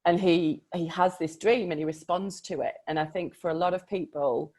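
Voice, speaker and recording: A woman's voice: lowish voice, moderate pace, darkish tone. A woman coach in a casual conversation about a book, speaking reflectively and with emphasis, often laughing.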